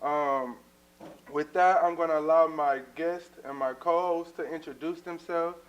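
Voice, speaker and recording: A man's voice: on the high side, fairly even intonation, measured pace, lo-fi sound. A man, hosting a casual church talk show as a minister, earnest and full of fillers. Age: 20s.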